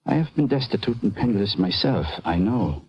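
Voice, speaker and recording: An actor playing a proud, defensive immigrant European doctor in a vintage radio drama, speaking formally, precisely and with earnest indignation. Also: male voice, lowish voice, expressive, fairly quick, a little mellow, breathy edge, dry recording. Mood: defensive dignity.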